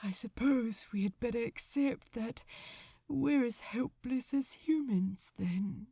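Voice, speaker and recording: A woman's voice: a little high, slow pace, warm tone, exaggerated voice. Woman playing a whispery, sorrowful male angel. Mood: despairing. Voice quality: rough, breathy near-whisper.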